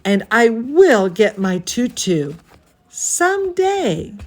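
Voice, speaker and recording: An eager young storybook girl who loves ballet, voiced by a woman reading aloud. She speaks slowly and proudly in a high, swooping voice, with earnest emphasis. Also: very animated, darkish tone, heavily acted. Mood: proud, determined.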